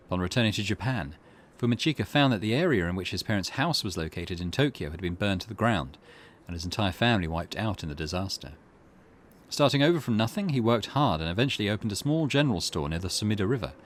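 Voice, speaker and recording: A man hosting a game playthrough, reading the on-screen text aloud and thinking out loud with small hesitations. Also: lowish voice. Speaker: gaming channel host.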